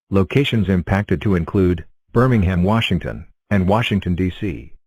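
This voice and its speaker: Low-pitched man reading emergency weather alerts. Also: a little mellow, no echo.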